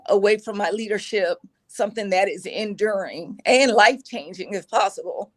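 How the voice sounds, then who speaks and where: A middle-aged woman with a raspy voice, speaking earnestly and reflectively as an interview guest, with many fillers. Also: medium pitch, moderate pace. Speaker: guest, executive communication advisor. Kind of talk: conversational interview.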